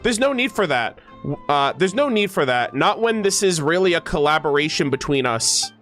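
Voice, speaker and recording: A man voicing a polite, commanding female boss from a video game, with a measured, formal manner. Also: on the high side, big pitch swings, a bit bright, soft background music, pitched below the performer's own voice. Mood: composed, dry.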